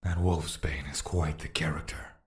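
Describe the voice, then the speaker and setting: An actor playing a calm, probing confidant to a prince in an audio drama, measured and terse. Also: male voice, extremely low pitch.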